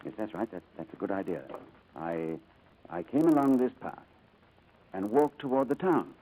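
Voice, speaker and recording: An actor playing a haunted, reserved English gentleman struggling with lost memory, halting and hesitant in 1940s radio style. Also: on the low side, big pitch swings. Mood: dazed, searching. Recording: noisy old radio drama recording.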